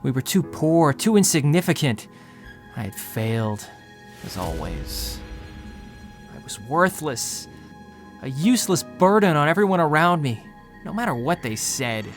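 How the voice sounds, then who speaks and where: A man's voice: a little high, sing-song, a little slow, soft background music. A man voicing a melancholy self-doubting young narrator. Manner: quiet, melancholy, self-critical. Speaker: narrator and lead character. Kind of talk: first-person audio drama narration.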